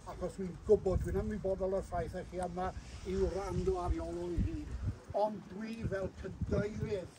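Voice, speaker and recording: Chairman giving an earnest outdoor welcome. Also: high-pitched. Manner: earnest, thanking, switches languages. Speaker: charity chairman. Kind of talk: formal welcome speech. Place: outdoors on a wooden platform. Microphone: far camera. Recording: distant outdoor recording with background noise.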